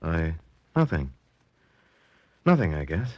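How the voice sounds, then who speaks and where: Easygoing male heir in his thirties, teasing, skeptical, 1940s radio drama, animated intonation. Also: extremely low pitch, slow pace, lo-fi sound, full character voice. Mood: puzzled. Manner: breezy, exasperated, colloquial. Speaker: actor.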